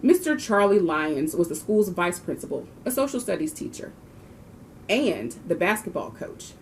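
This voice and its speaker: A woman's voice: lowish voice, sing-song, moderate pace, a little hiss or hum, low-quality recording. A woman reading a children's book aloud expressively, with the occasional small stumble. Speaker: volunteer reader of a children's book. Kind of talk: storybook read-aloud.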